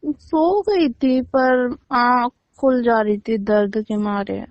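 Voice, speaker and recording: A woman with a fairly high voice talks casually and intimately, as if to a partner, teasing and grumbling a little. The sound is a dull, lo-fi phone recording with very little room around it. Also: slow, dry recording. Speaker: romantic partner on a phone call. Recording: dull lo-fi phone call audio.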